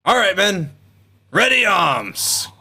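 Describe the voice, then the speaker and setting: A man's voice: high-pitched, big pitch swings, slow, bright, forceful tone, full character voice. A man playing a scornful, trigger-happy lieutenant, commanding and sneering in a theatrical radio play reading. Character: scornful, trigger-happy military lieutenant barking orders at patrol. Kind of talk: radio play cold read.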